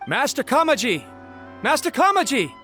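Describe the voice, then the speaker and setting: A man's voice: extremely high pitch, huge pitch swings, moderate pace, over music, heavily acted. A man playing an anxious, deferential young retainer in a video game, hesitant, stammering and apologetic. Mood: calling out, worried. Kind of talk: video game character voice acting.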